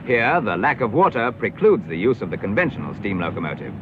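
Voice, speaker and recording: A British man reading formal, measured, declamatory narration in the style of a 1950s educational film. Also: lowish voice, sing-song, noisy background. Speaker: documentary narrator. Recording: dull vintage film soundtrack with music. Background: clear background music.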